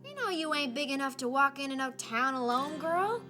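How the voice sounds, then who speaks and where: Woman playing spirited Southern grandma for a children's storybook: higher-pitched, folksy, animated, drawn-out telling, music underneath. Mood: scolding, teasing. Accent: Southern American. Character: folksy, spirited Southern grandmother reminiscing about her girlhood. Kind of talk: children's storybook character reading.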